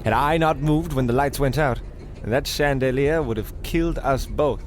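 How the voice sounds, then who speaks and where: An actor playing a pompous, melodramatic, absurdly self-assured detective in the theatrical style of old radio comedy. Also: male voice, mid-range voice, normal speed, slight background noise, close to an everyday voice. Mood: self-important. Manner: theatrical, grandiose deductive declarations.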